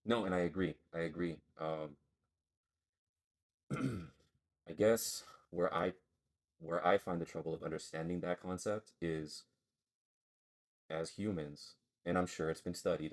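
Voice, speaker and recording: A man with a very low voice that barely rises or falls. He co-hosts a relaxed podcast conversation and rambles as he works his ideas out aloud. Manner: thinking aloud, rambling and searching for words. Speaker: podcast co-host. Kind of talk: casual philosophical chat.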